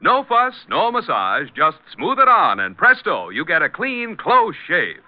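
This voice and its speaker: A man's voice: high voice, huge pitch swings, measured pace, heavily acted. An American actor playing an upbeat, knowing salesman in an old radio commercial skit. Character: upbeat, knowing male grooming-product salesman. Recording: muffled old radio recording.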